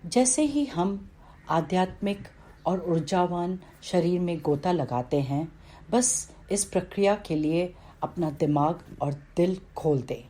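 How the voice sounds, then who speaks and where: Slow, mellow woman guiding meditation. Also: fairly low pitch, lively intonation, slight background noise. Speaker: meditation guide. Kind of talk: guided meditation narration, read from a script.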